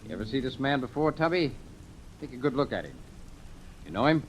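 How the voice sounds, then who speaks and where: A man's voice: medium pitch, very animated, close to an everyday voice. A man playing a probing, suspicious amateur sleuth, in 1940s radio style. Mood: insistent. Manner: probing, brisk, authoritative. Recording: noisy old radio drama recording.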